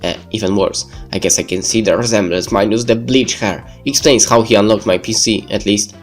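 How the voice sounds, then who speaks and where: A man's voice: lowish voice, fairly quick. Man narrating a visual novel over music. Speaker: video game let's player.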